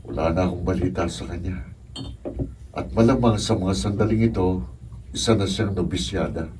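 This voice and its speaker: A low, dark-toned voice of a steadfast young seminarian, acted by a man, serious and gently firm. It is radio drama delivery, picked up at a distance in a noisy room. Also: close to an everyday voice. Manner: serious, measured. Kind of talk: Filipino radio drama acting.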